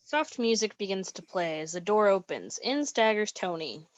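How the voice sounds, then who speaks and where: A woman's voice: medium pitch, lively intonation, moderate pace, dry recording, close to an everyday voice. A woman acting as the plain-spoken narrator of an amateur comic radio play, reading stage directions on a lo-fi recording. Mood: matter-of-fact.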